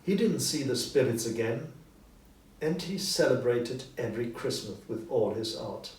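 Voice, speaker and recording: A man reading a story as narrator, in an expressive, deliberate scripted style. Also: mid-range voice. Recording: roomy echo, distant mic, faint noise.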